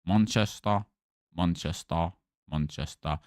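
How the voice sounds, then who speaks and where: A man doing a low, mumbling Manchester lad. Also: slow pace, no echo, clean studio sound. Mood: gruff. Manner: low, muttered repeated catchphrases. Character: gruff male Mancunian lad, laid-back.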